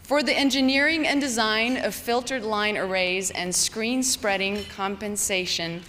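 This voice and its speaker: A woman reads formal award citations as a ceremony presenter. Her mid-pitched voice rings through a large, reverberant hall, with some faint noise in the recording. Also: a bit bright. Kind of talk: scripted award citation read aloud. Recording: echoey hall PA, background noise.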